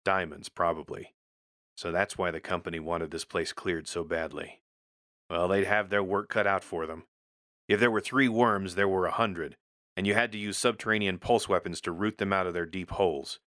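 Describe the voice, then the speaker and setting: A man with a very deep, forceful voice narrates scripted first-person fiction. He tells it tersely, like a gritty soldier. The sound is close and dry. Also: steady tone, normal speed, no echo. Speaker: story narrator.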